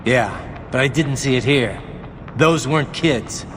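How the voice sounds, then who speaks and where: An actor playing a grim, determined cyborg soldier hero in a video game, terse and intense. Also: male voice, a little slow, natural voice. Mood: somber. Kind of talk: video game cutscene acting. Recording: clear background noise, vehicle-like rumble.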